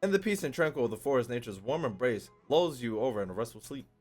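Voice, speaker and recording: A man's voice: mid-range voice, animated, fast, a little mellow, soft background music. A man giving casual, slangy, profane reaction commentary as a gaming video creator, in a joking manner.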